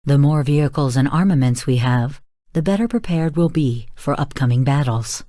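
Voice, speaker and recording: A cool female artificial intelligence coaching in a combat game. Her voice is deep for a woman and rather mellow, with a dry studio closeness. She is formal, clipped and deadpan, exacting and bluntly critical. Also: moderate pace, no echo. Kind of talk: scripted game voice lines.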